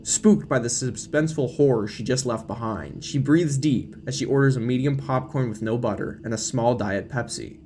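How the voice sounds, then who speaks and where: A man's voice: medium pitch, normal speed, few pauses, a little mellow, slight background noise. A man reading scripted, dramatic narration of a horror story as the narrator, with music playing behind his voice.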